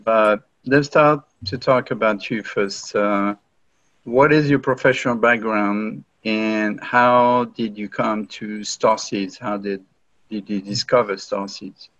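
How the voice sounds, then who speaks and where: A man's voice: on the low side, steady tone, takes it slowly, a bit bright, no echo. A man hosting an interview show, asking plain, courteous questions. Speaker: podcast host. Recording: dry, dull lo-fi sound.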